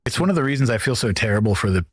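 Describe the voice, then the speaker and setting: A man in a conversational interview, soft-spoken and hesitant. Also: medium pitch, animated, rapid-fire, slightly rough, no echo, studio quality.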